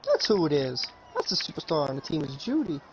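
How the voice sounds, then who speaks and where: A man's voice: on the high side, big pitch swings, fairly quick. A man chatting casually while gaming. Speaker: gamer. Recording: muffled stream audio, clear noise. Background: football game commentary and noise.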